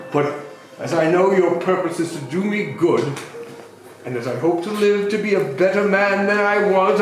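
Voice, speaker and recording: A man's voice: on the high side, natural voice. A man playing a remorseful, pleading old miser in an amateur stage reading. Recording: heavily echoing room.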